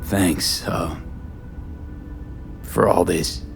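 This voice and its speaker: A man playing a weary, blunt mercenary in a video game, with a gravelly voice and terse, low-key delivery. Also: very slow, faint music behind, full character voice. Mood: pensive. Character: weary, blunt male mercenary in a futuristic city.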